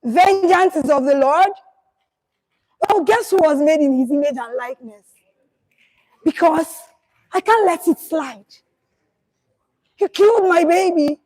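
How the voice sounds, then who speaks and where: A woman's voice: extremely high pitch, rough recording. A woman playing Favour, a grieving vengeful lawyer. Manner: impassioned, shouting through tears, defiant. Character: grieving vengeful woman lawyer whose baby was killed. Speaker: monologue performer. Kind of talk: dramatic monologue.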